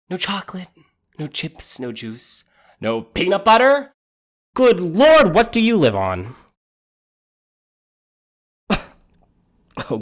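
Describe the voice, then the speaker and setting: Flustered, catty jilted man, swooping in pitch, acted. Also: a bit bright, full character voice. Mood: indignant, distraught. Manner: rambling, incredulous, campy complaining. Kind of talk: theatrical comic monologue.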